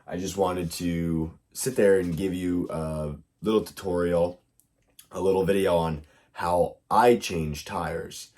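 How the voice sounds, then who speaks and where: Brief: young man, very low voice, hoarse from illness, loose and rambling how-to host. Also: a little mellow. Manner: loose, rambling, lots of fillers. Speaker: RC hobby video host. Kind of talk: casual how-to tutorial.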